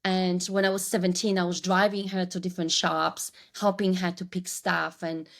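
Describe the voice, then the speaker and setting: A woman's voice: lowish voice, flat delivery, moderate pace, gravelly. Woman, Polish accent, forties, podcast guest, reflective storytelling, restarts mid-sentence. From Poland. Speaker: guest, branding coach. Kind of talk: podcast interview.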